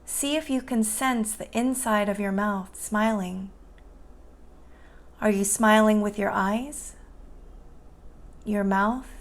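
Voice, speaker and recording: A woman guiding a meditation in a soothing, gentle and unhurried manner. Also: mid-range voice. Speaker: meditation guide. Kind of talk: guided meditation narration.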